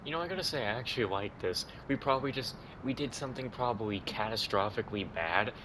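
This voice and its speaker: A man's voice: lowish voice, lively intonation, fast talker, background noise. A man giving casual, rambling, informal commentary as host of a video game playthrough. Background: game music.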